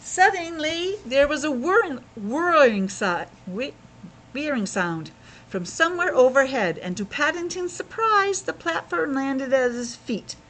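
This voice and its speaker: A woman reading a children's story aloud in an expressive way, pausing to explain things simply as a teacher would. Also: high voice, rough recording. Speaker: schoolteacher reading a picture book aloud to children.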